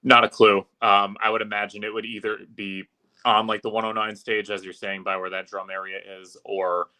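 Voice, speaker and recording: A man with a bright, forceful voice that sits fairly low. He chats casually and fluently as an interview guest, keeping his pitch in a fairly narrow band. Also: level tone, fairly quick. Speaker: podcast guest, arena public address announcer.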